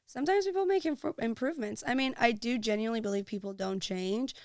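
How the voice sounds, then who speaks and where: A woman's voice: a little high, fast talker, no room sound, studio quality. A woman hosting a podcast, talking earnestly and chattily, full of "like"s. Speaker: podcast host. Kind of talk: casual chat.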